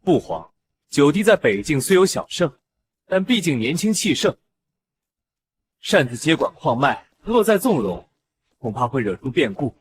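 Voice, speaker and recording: A man playing a scheming, flattering prince in an animated palace drama, formal and theatrical. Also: a little high, moderate pace, pauses often. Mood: insinuating. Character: scheming adult prince, flattering his emperor father. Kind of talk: Chinese animated web drama voice acting.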